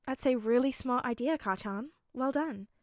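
A woman voicing a patient, gentle teenage boy in a story, speaking in a soft, careful, coaxing way. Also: fairly high pitch, moderate pace, no echo, studio quality, lightly acted. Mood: encouraging.

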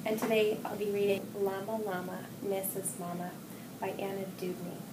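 A middle-aged woman reading a children's picture book aloud with expressive, sing-song phrasing. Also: medium pitch, normal speed. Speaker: children's story reader, hospital executive assistant. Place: indoor room with wall decorations. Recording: roomy echo, distant mic, clear background noise.

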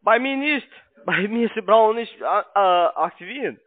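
High-voiced salesman on a tinny phone. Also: expressive. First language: not German. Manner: halting, insistent, broken grammar. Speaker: call centre sales agent. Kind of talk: pushy unscripted phone sales pitch. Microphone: telephone. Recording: tinny narrowband phone line.

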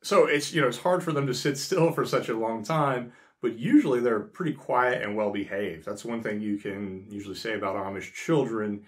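Relaxed man explaining to camera. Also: lowish voice, moderate pace. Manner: conversational, many fillers and restarts, gestures with hands. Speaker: host. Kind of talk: casual explainer talk to camera. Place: small indoor room by a frosted window.

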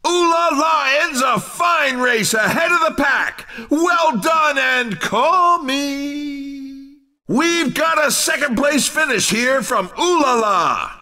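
A man playing an over-the-top, excitable racing game commentator with theatrical, exclamatory announcing. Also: very high-pitched, slow pace, far from the mic, full character voice. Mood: excited. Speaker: race commentator. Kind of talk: video game sports commentary.

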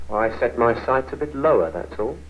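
A man's voice: medium pitch, animated, a little fast, lightly acted. Tinny, slightly noisy 1970s film sound with a man acting an easygoing British office colleague. He is wry and placating, with plenty of rise and fall in his voice.

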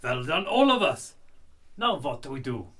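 A man's voice: mid-range voice, very animated, moderate pace, fairly bright tone. A man playing a blunt, grumbling, indignant henchman conspirator in a radio play. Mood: rallying. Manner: blunt, complaining. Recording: faint background noise.

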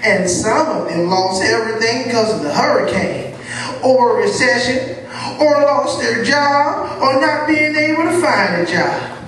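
An actor playing an earnest television news reporter, delivering a formal, measured report in a stage drama. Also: mid-range voice, expressive, fairly bright tone. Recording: echoey hall from far off, faint noise.

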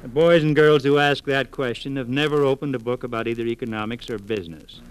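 A man's voice: mid-range voice, steady tone, fast, smooth, close to an everyday voice, low-quality recording. A man playing an opinionated, emphatic commentator in a radio comedy, speaking in assured rhetorical questions and asides.

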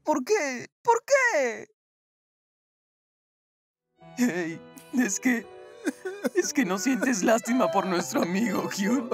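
Dramatic, pleading student, very animated , a woman acting. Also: on the high side, slow pace, soft background music. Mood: pleading, whiny.

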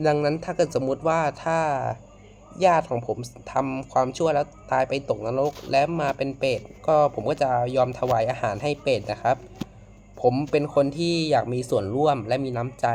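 A man hosting a casual reaction video, politely addressing viewers as friends in a halting, repetitive way with frequent exclamations. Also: mid-range voice, darkish tone. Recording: noisy amateur home recording.

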